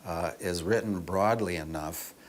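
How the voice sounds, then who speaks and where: A man explaining in an interview as an official, measured and a little hesitant. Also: low-pitched. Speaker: state consumer protection official. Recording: faint background noise.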